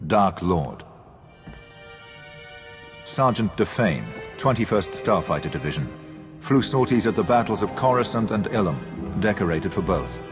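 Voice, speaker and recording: An actor playing a seasoned, dutiful starfighter pilot in a video game, crisp, plain-spoken and soldierly. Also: male voice, deep voice, lively intonation, a little slow, pauses often. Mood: dutiful, wry. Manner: crisp, matter-of-fact, soldierly. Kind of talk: scripted video game acting. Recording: game music behind the voice.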